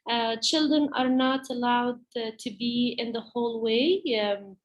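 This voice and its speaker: Higher-voiced woman with an Arabic accent. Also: fairly even intonation, unhurried, muffled recording. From Palestine. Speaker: teacher. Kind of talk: prepared presentation to parents.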